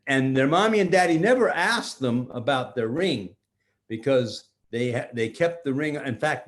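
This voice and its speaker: An older man, a grandfather, improvising a bedtime story for children in simple, repetitive phrases with drawn-out words. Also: medium pitch.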